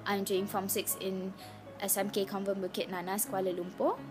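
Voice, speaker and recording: A young woman of nineteen with a Malaysian English accent, her first language Malay, chats casually to the camera. She speaks in a mid-range voice, pausing and restarting often, with clear music playing behind her. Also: medium pitch, level tone. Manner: hesitant, chatty, apologetic, with many restarts. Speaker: vlogger sharing her cancer story. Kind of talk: casual personal vlog. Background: background music.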